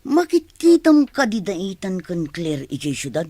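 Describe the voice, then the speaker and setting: A woman's voice: fairly low pitch, very animated, unhurried, warm tone, breathy edge, no room sound, full character voice. An actress playing a nosy, suspicious mother in a radio drama, blunt and needling in manner.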